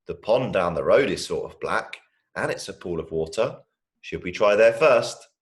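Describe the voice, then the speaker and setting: Man reading as a mopey, offhand older brother, lively pitch. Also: moderate pace, lightly acted. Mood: playing along. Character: mopey older brother, a listless boy who softens. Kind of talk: storybook character voice.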